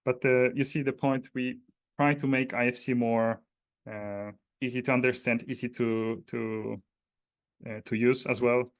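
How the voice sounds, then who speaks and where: A man's voice: mid-range voice, little pitch movement, unhurried. A man from Poland with a Polish accent, giving an informal technical walkthrough in a hesitant, explanatory way. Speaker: presenter demonstrating a data dictionary.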